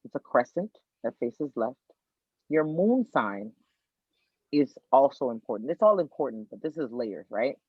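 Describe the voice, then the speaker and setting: A man from Miami, Florida, an astrologer guest on a podcast, explaining birth charts in an energetic, teacherly way and repeating his key points. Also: medium pitch, unhurried, slightly rough, dry recording. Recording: tinny dry phone-like line.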